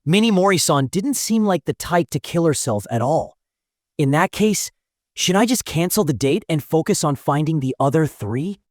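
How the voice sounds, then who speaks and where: Man voicing an anxious, expressive teenage schoolboy loner. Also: a little high, moderate pace, dry recording, clean studio sound, lightly acted. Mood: pensive. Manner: hesitant, musing to himself. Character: anxious, awkward teenage schoolboy loner, earnest and easily flustered. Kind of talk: anime-style dubbed story acting with inner monologue.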